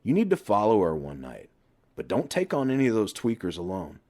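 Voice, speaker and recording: A man's voice: fairly low pitch, huge pitch swings, brisk, darkish tone. A man voicing a crude, sarcastic middle-aged best friend who needles and teases in an audiobook story. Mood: blunt advising. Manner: sarcastic, teasing.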